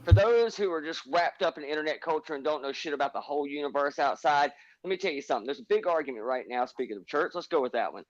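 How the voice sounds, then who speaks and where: A man's voice: fairly high pitch, animated, quick pace, few pauses, no room sound. Man ranting hard on a lo-fi stream. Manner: emphatic, profane, lecturing rants. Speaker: livestream panelist. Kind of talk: heated panel chat. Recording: dull lo-fi stream audio.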